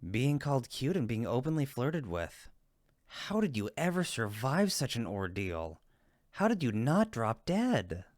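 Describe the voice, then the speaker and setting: A man's voice: mid-range voice, big pitch swings, a little slow, a little mellow, no room sound, clean studio sound. A man playing a friendly cupid roommate in a comfort roleplay, teasing and supportive, with an emphatic, reassuring manner. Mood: excited teasing. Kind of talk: roleplay audio comfort acting, one-sided conversation.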